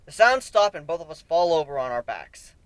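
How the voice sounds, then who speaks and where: A man giving an expressive dramatic reading of a fan fiction story as an amateur narrator. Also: on the high side, a little fast, clear, resonant tone, no echo.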